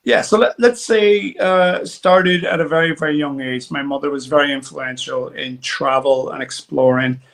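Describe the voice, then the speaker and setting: A man's voice: fairly high pitch, moderate pace, vocal fry, few pauses, fairly bright tone. A man in his forties from Derry with a Northern Irish accent, a guest telling his story in a rambling way, full of fillers and restarts. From Derry, Northern Ireland. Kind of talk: conversational interview.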